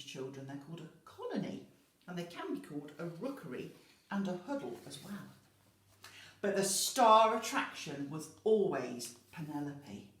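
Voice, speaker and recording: A woman teacher reading a children's storybook expressively, pausing to explain words simply to young listeners. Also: medium pitch. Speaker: infant school teacher. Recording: echoey room.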